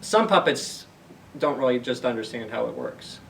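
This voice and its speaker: A man's voice: medium pitch, lively intonation, moderate pace, creaky, fairly bright tone, recorded from a distance, a little hiss or hum. A man hosting a story time for young children, reading aloud and explaining things simply with questions to the listeners.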